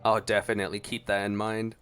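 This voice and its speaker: A man's voice: fairly low pitch, level tone, quick pace. A man giving casual, musing gameplay reaction commentary in a laid-back way. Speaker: gameplay streamer. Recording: game music in the background. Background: game soundtrack.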